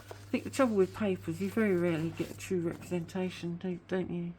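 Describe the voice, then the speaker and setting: A woman with a somewhat low voice talks through her craft work at home in a loose, rambling way. A sore tooth gives her voice a slightly whiny edge, and a faint hum sits underneath. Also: steady tone, quick pace, dark tone. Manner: chatty, rambling, admits to waffling. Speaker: craft vlogger. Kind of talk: casual craft show-and-tell chat.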